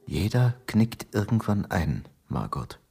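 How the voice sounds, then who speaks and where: An actor playing a middle-aged male Soviet secret police chief in a radio drama, genial and persuasive, confiding with quiet menace. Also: on the low side, very animated, slow, breathy edge, soft background music, full character voice. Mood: soothing.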